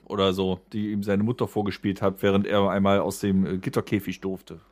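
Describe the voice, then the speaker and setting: A man's voice: low voice, little pitch movement, brisk, a little mellow, no room sound. A man, chatting casually as a podcast host in a coarse, grumbling way. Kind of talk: casual comic chat and ranting.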